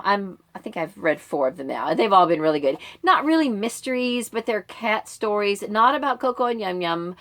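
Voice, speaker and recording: A woman's voice: lowish voice, a bit bright. A middle-aged American woman giving a casual, conversational reading update to viewers in an informal, rambling way. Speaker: book vlogger and book club member.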